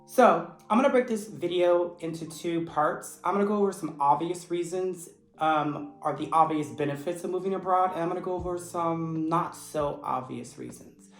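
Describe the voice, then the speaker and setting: A man who teaches English, giving a steady, earnest explanation in vlog style. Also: on the high side, moderate pace. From United States. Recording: echoey room with faint music. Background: faint background music.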